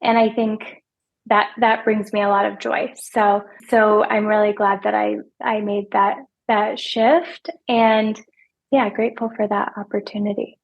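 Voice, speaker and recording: Woman, thirties, soft-spoken, gravelly texture, halting interview answers. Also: mid-range voice, flat delivery, lo-fi sound. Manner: hesitant, repeats words while thinking. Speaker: financial advisor guest. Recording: podcast remote interview.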